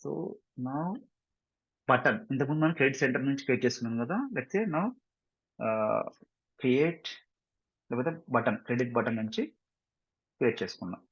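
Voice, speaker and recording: Husky Telugu man teaching software, dull audio. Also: medium pitch, a little fast, long pauses. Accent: Indian, Telugu. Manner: instructional, repeatedly checking understanding with short questions. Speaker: software trainer. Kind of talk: step-by-step screen-share tutorial, mixing two languages. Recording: dull, roomy lo-fi screen recording.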